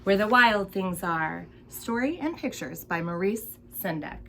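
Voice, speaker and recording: Slow, animated woman reading a storybook. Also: mid-range voice, a little hiss or hum. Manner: dramatic, deliberate phrasing for young children. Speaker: kindergarten teacher reading aloud. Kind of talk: expressive children's picture-book read-aloud.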